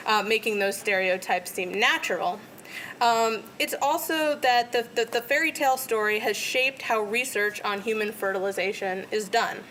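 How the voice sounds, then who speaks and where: A woman with a loud, bright, fairly high voice delivers an academic lecture into a podium microphone. She explains her points in a lively, animated way. Faint room noise sits under the recording. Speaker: guest lecturer.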